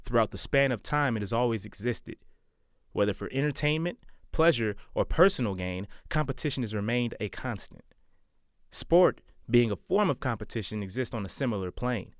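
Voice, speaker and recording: Young male student reporter, scripted sports-package narration, mid-range voice, measured and dramatic. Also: mid-range voice, expressive, dry recording, clean studio sound. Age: young adult. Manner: measured, dramatic voice-over delivery.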